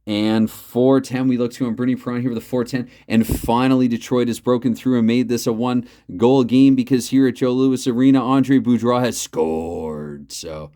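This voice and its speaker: A man hosting a tabletop hockey replay at home, mixing mock play-by-play with chatty, thinking-aloud dice math in a loud voice he keeps reined in. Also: medium pitch, normal speed, few pauses. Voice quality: loud voice, holding back. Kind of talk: mock play-by-play commentary between dice rolls.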